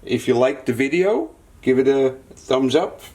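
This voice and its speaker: Unhurried man thinking aloud at work. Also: mid-range voice, expressive, darkish tone. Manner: muttering to himself while working, thinking aloud. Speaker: repair technician and channel host. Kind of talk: unscripted hands-on repair demonstration, casual commentary.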